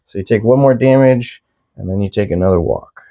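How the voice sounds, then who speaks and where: A man's voice: lowish voice, a little fast, warm tone. A man playing a tabletop game as the opponent, chatting relaxedly about his plays. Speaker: co-host, opponent player. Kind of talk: casual tabletop game talk.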